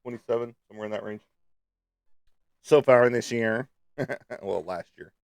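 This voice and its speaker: A man's voice: mid-range voice, moderate pace, creaky, no room sound. A man with a slightly stuffy nose, chatting casually and playfully to viewers as a livestream host. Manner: playful, teasing, peppered with sung sound effects.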